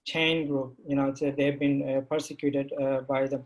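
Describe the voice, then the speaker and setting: Flat-voiced man, halting second-language speech. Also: mid-range voice, poor audio. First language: Rohingya. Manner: halting, many restarts and fillers. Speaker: panel guest. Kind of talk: panel discussion.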